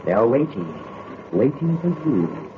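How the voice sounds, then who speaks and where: A man's voice: mid-range voice, very animated, unhurried. A man announcing a 1930s radio horror show in a declamatory, melodramatic manner. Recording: very noisy, tinny old radio recording.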